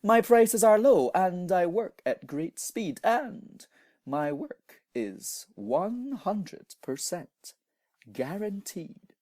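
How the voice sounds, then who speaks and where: A man's voice: high voice, big pitch swings, drawn-out words, warm tone, dry recording, studio quality, voice pushed up, heavily acted. A man with a Scottish accent voicing a sly, cheery travelling salesman from a storybook, full of grand patter. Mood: eager pitching.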